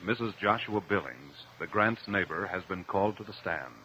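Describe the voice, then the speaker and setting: An American man narrating as a mid-century radio announcer, reading a script with deliberate, dramatic emphasis. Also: sits low, expressive, pushed and bright. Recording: dull, lo-fi old radio recording.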